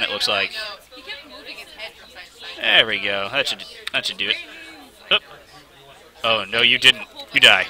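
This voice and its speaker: Male game streamer, sniffly with a cold, chatty and excitable, bright edgy voice. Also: medium pitch, vocal fry. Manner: excitable, talks to himself while playing. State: has a slight cold, sniffling. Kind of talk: live let's play commentary. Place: game studio event.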